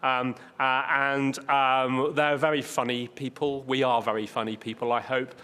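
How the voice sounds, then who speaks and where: A man's voice: medium pitch, level tone, a little slow, sharp tone, clear, resonant tone. A middle-aged man giving an informal keynote talk with dry, understated humour and a hesitant, wry delivery. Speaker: keynote speaker, medical oncologist. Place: large conference hall. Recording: echoey hall with long reverb.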